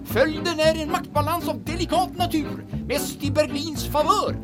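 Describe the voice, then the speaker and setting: A woman's voice: sits high, steady tone. A woman delivering scripted narration as the narrator of a serial audio drama. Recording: loud music under the voice.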